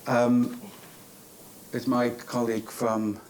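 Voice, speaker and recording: A British man from Cornwall giving semi-formal closing remarks at a conference, speaking in a halting, hesitant way. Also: medium pitch, monotone, a little mellow. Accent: British English. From Cornwall, England. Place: indoor business school conference venue. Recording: clearly noisy conference room recording.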